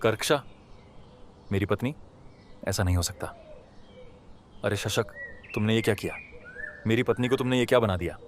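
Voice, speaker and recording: A man's voice: lowish voice, normal speed, pauses often, a little hiss or hum, lightly acted. A man voicing a kind-hearted, emotional poor villager in a Hindi cartoon, speaking in a dramatic, pleading way. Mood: distraught, pleading. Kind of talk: Hindi animated moral story dialogue.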